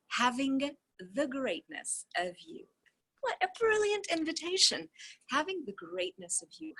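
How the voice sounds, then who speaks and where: Husky woman reading along expressively. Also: fairly high pitch, fairly bright tone, rough recording. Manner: effusive, gushing delivery. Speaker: host reading a book aloud. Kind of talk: read-along with casual asides.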